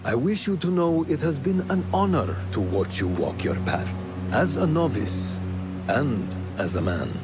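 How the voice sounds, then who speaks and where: A proud, kindly male mentor from a video game. He speaks slowly and formally in a mid-pitched, expressive voice, with music clearly behind him. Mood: proud, affectionate. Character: kind, proud male mentor of an assassin order. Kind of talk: cinematic game acting.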